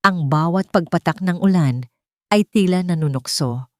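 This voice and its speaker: A woman with a Filipino accent narrating a scripted love story in a measured, sentimental way. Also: fairly low pitch, a little mellow, no room sound, studio quality. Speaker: narrator of audio love stories.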